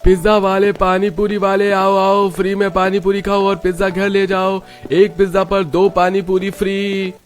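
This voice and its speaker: A man's voice: sits high, monotone, moderate pace, hardly any pauses, faint music behind, pitched above the performer's own voice, close to an everyday voice. A man voicing an eager, polite young husband who sells street food in a cartoon story. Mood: hawking loudly.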